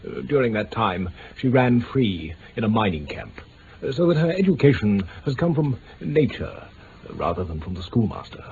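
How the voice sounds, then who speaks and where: An actor playing a pompous, easily affronted aristocrat in a radio drama, with an upper-class English accent and a stiff, hesitant delivery. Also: male voice, mid-range voice, sing-song, moderate pace, a little mellow. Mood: stiff, reminiscing. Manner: pompous, stiff, hesitant over delicate words. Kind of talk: 1950s-60s BBC radio drama acting. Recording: muffled, hissy old radio recording.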